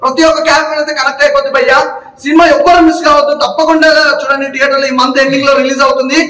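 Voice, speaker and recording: An effusive, emphatic man, often shouting as he gives an excited movie review as an audience member. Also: very high voice, racing. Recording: echoey, distant mic with crowd noise. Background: crowd.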